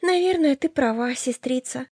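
A woman's voice: high voice, normal speed, a little mellow, slightly rough, dry recording, clean studio sound. A woman voicing a naive, sweet, lovestruck younger sister of twenty in an audiobook, with a plaintive, gushing manner. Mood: tearful, pleading. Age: young adult. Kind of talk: audiobook character dialogue.

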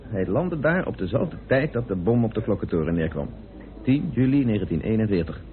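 A narrow, tinny old radio recording carries a well-informed parliamentarian playing detective. His delivery is measured and deliberate, with a fairly low voice that moves expressively as he lays out his reasoning. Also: very animated. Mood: explaining. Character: composed, well-informed male British parliamentarian turned amateur sleuth. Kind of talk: Dutch radio play acting.

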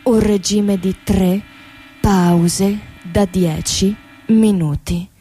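Mellow, very slow quiz presenter. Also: female voice, medium pitch, fairly even intonation, husky, soft background music. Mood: brisk announcing. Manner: drawn-out, suspenseful announcing. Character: showy, deliberate female quiz show presenter. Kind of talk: satirical radio theatre, game show parody.

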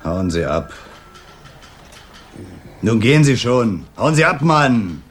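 An actor playing a commanding, sardonic police inspector who needles people in a radio drama. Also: male voice, mid-range voice, big pitch swings, takes it slowly, slight background noise. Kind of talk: 1980s German radio drama acting.

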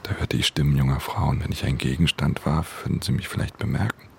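An actor playing a wounded, stubborn pickpocket who narrates his own dying in a crime radio drama, hushed, terse and introspective. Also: brisk, a little hiss or hum. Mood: weak, determined. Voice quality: gravelly, breathy low murmur. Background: quiet music.

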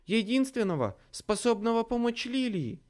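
A man voicing a young woman who speaks quietly, levelly and coldly, as an audiobook character. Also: very high voice, sing-song, moderate pace, pitched above the performer's own voice. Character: stern young woman, cold and steady.

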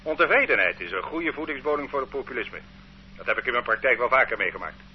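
A man's voice: medium pitch, expressive, quick pace, close to an everyday voice. Brief: male actor as a condescending, threatening state interrogator, old radio play, muffled sound. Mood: stern. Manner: bullying, condescending. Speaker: official interrogating a suspect. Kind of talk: radio drama acting. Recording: dull old radio recording with faint hum.

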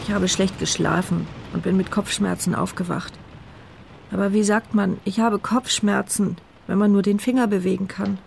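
A woman's voice: mid-range voice, steady tone, normal speed, gravelly, darkish tone, a little hiss or hum. An actress playing a paralysed woman who narrates her inner thoughts in a dry, sardonic, reflective way for a radio drama. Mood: puzzled. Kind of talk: scripted radio drama monologue.

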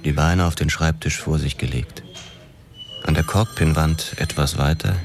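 A man with a very deep voice narrates a hard-boiled radio drama in a measured first-person way. Music plays clearly beneath him. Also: steady tone.

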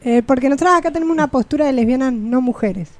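A woman's voice: high-pitched, fast talker, no echo. An Argentine woman hosting a radio show, interviewing in a casual, conversational way.

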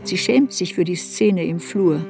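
An actress playing a serious woman looking back on her girlhood shame, narrating a literary radio play in a measured, introspective way. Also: fairly low pitch, creaky, mellow, faint music behind. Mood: bitter, grave.

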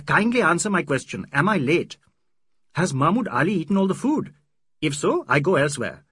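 A man voicing an excitable, playful young male doctor, loud and quick in an audiobook reading. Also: slightly rough, no echo, studio quality, full character voice. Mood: eager. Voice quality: loud, high and animated.

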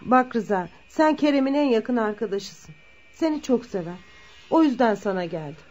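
Anxious high-voiced mother, dull recording. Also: animated, normal speed, a little mellow. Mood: polite, earnest. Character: worried, gentle mother of a troubled grown son. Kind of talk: Turkish radio theatre acting. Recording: dull lo-fi radio drama recording.

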